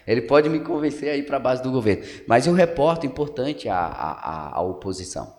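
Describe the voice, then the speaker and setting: A man's voice: mid-range voice, big pitch swings, fairly quick, a little mellow. A man in his thirties from Pernambuco, a podcast guest and local journalist giving casual political commentary, explaining at length with frequent tag questions and fillers. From Pernambuco, Brazil. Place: council building room. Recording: long hall reverb.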